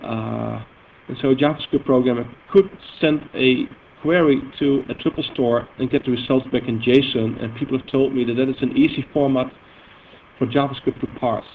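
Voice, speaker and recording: A man's voice: mid-range voice, fairly even intonation. Dutch man on tinny lo-fi audio. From Netherlands. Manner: halting, filler-heavy, self-correcting explanation. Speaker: software presenter demonstrating database queries. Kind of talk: live technical demo talk. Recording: tinny phone-like audio with faint noise.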